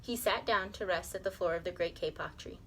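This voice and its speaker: A woman's voice: fairly low pitch, a little fast, fairly bright tone, rough recording. A woman reading a children's story aloud expressively, as a teacher does. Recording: clear background noise.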